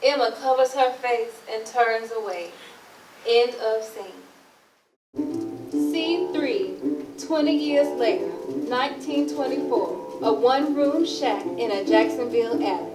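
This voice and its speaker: A woman's voice: on the high side, a little slow. A woman narrator reading stage directions for a theatre play reading. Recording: echoey, distant mic, music behind.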